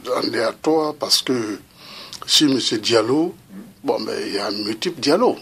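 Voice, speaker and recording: A man interviewing someone on video, with a husky voice, hesitant and full of fillers as he presses his questions. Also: mid-range voice, expressive, normal speed, fairly bright tone.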